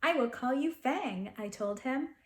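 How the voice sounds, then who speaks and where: A woman's voice: high voice, measured pace, echoey room. A woman voicing a fond, imaginative little girl who tells her own storybook tale expressively. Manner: expressive, dramatic storybook telling.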